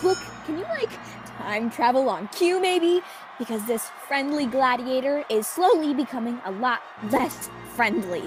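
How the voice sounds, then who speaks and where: Woman as a high-voiced, exasperated girl adventurer. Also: expressive, unhurried, faint music behind. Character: exasperated young girl adventurer, incredulous and casual. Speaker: student radio theatre actor. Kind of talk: radio theatre acting.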